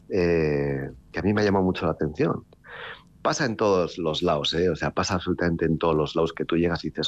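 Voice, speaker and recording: Novelist, male, very low voice, rambling and wry, muffled lo-fi audio. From Basque Country, Spain. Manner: rambling, many restarts and fillers, wry. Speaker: author. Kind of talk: relaxed interview talk.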